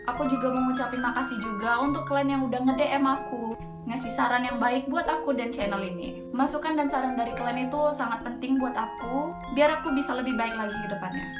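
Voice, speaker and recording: Young woman w medan accent, chatty spooky story, high voice, echoey room w music behind. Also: a little fast. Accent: Medan Indonesian. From Medan, Indonesia. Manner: chatty, slangy, tosses in frequent filler interjections. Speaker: storyteller host. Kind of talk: casual horror storytelling.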